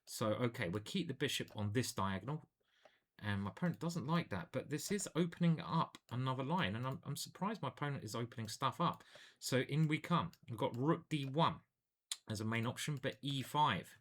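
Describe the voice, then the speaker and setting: A man's voice: medium pitch, lively intonation, a little fast, a bit bright. A man, a chess grandmaster, casually commentating his own online games in a playful, chatty way. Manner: playful and chatty, hums and sings little jingles.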